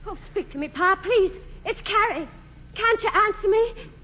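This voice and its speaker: A woman's voice: very high-pitched, lively intonation, normal speed. A woman playing a frantic, pleading grown daughter in a 1940s radio drama. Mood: alarmed, pleading. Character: frantic grown daughter pleading over her stricken father. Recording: muffled old radio broadcast.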